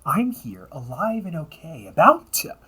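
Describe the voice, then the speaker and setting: A man playing an earnest, weary time-traveling older brother turned villain in an amateur audio roleplay, speaking haltingly and often dropping to a breathy near-whisper. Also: a little high, wildly swooping pitch, pushed and bright, full character voice. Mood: reassuring. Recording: noisy amateur home recording.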